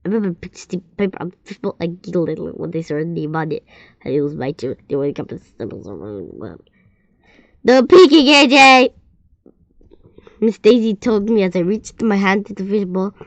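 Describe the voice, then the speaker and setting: A woman reading a children's story aloud, expressive and often stumbling and repeating words. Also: lowish voice, moderate pace, no echo, lo-fi sound.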